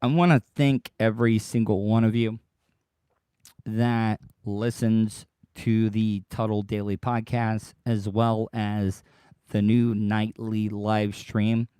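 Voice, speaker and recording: Man, podcast host, mellow dark voice, dry close sound, tired and deeper than usual, rambling chat to listeners. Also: little pitch movement, slow, clean tone, no room sound. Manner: rambling, repeats himself, talks straight to listeners. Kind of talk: casual solo livestream monologue.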